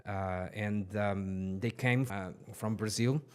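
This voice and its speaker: A man in his forties from Portugal, a podcast guest telling his life story in an earnest, halting way with many restarts. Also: on the low side, steady tone, clear, resonant tone.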